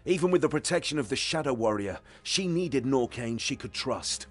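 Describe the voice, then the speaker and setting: Man playing a husky, solemn warrior. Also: medium pitch, animated, moderate pace, soft background music, natural voice. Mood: respectful. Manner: formal, solemn storytelling cadence. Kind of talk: fantasy game cutscene acting.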